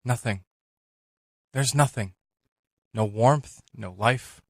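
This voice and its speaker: A man's voice: medium pitch, slow pace, dry recording, studio quality. A man playing a restless, discontented partner in a modern radio drama, speaking in terse, brooding phrases. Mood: bleak, insistent. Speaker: radio play actor.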